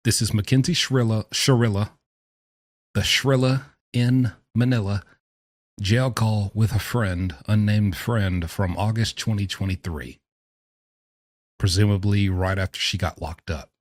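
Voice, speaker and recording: A man's voice: on the low side, steady tone, unhurried, no room sound, clean studio sound. A man giving casual, sarcastic reaction commentary as a host, mocking and theatrical in his emphasis. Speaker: host commenting on prison phone calls.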